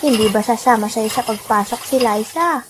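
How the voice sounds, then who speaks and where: A woman's voice: on the high side, moderate pace, background noise, close to an everyday voice. A woman voicing a kind, loving old grandmother in a radio drama, sighing and worried. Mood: worried, weary. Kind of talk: dramatic radio play acting. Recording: music behind the voice.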